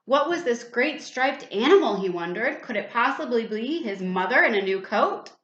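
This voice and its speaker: A woman's voice: on the high side, animated, normal speed, fairly bright tone. A woman reading a children's storybook aloud, expressively, with little asides and questions to young listeners. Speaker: teacher reading a picture book aloud. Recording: echoey room, slightly dull sound.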